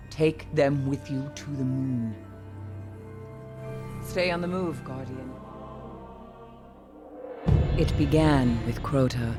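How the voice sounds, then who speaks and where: Haunted female mystic, solemn, ominous, unhurried game cinematic delivery, strong musical backing. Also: low voice. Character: grim, haunted woman mystic who survived long darkness. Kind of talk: cinematic video game dialogue and narration. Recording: loud music under the voice.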